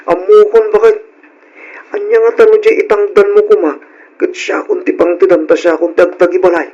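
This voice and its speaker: A man's voice: sits high, level tone, a little fast, darkish tone. A man playing an eager, agreeable young husband in an old-style radio drama. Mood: agreeable, coaxing. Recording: dull, lo-fi old radio recording.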